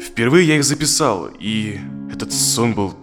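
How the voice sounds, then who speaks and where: An actor playing an uneasy therapy client in his thirties in an audio drama, speaking in a halting, reflective way. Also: medium pitch, lively intonation, music in the background, close to an everyday voice. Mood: hesitant, uneasy. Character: uneasy, tired thirty-five-year-old male therapy client.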